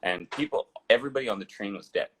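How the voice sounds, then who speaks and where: A man's voice: fairly low pitch, fast talker, fairly bright tone, slight background noise, low-quality recording. A man in his thirties, raised in Dubai and India, telling his life story as an interview guest with a digressive, restart-filled way of talking. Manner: digressive, rambling with frequent restarts.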